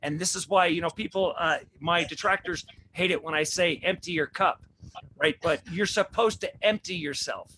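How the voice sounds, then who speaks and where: A man's voice: fairly high pitch, normal speed, sharp tone, a little hiss or hum, low-quality recording. A man hosting a religious talk show, preaching with repeated rhetorical questions. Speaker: host.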